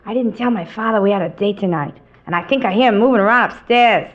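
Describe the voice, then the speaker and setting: An actress playing a dim, wisecracking girlfriend with a deadpan delivery in a radio comedy sketch. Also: mid-range voice, quick pace, natural voice. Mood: nagging, hushed. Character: dim, drawling, wisecracking girlfriend, an old man's daughter. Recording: muffled, very noisy old radio recording.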